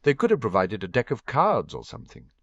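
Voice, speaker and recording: A man voicing a friendly, easygoing teenage boy in a relaxed, conversational way. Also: fairly low pitch, brisk, creaky, no room sound, heavily acted. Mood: easy. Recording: dull lo-fi dry recording.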